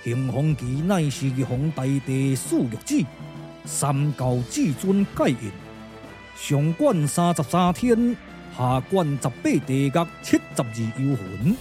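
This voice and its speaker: A man voicing a solemn, courteous but unyielding Taoist commander, speaking in measured, formal declamation for a puppet-theatre radio drama. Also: a little high, big pitch swings, mellow, faint music behind. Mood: firm, defiant.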